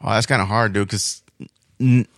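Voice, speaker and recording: A man's voice: fairly low pitch, fairly even intonation, moderate pace, no echo, clean studio sound. A man chatting informally about comics as a podcast guest, in a rambling, enthusiastic fan's way. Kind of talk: casual chat about comics.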